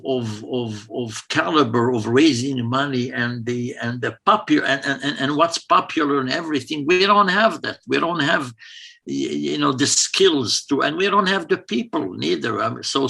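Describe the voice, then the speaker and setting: A middle-aged man from Morocco, a cantor, answering interview questions in a halting way with many repeated words and easy laughter. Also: mid-range voice, gravelly, sharp tone, slightly rough. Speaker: cantor, guest.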